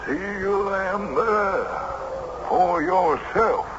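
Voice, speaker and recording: A man's voice: high-pitched, drawn-out words, dull audio. A man playing an indignant, proud racehorse owner with an emphatic, repetitive, old-fashioned manner in a radio drama. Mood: eager, uneasy. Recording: noisy, band-limited old radio broadcast.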